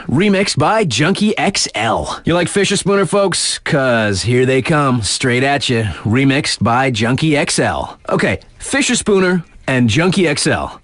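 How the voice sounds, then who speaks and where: A man's voice: on the high side, no echo, studio quality. A man playing a hip, laid-back radio DJ on a snowboard mountain in a video game, introducing tracks with slangy, cocky, playful patter.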